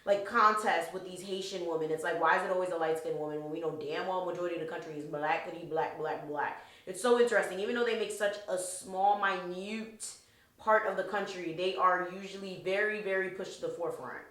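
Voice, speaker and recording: A young adult woman chatting casually, animated, blunt and profane. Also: lowish voice, brisk. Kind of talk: casual chat. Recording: echoey room.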